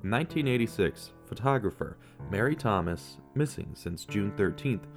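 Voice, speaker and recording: A man narrating a scripted true-crime podcast as its host, with measured storytelling and dramatic pauses. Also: on the low side, animated, music in the background.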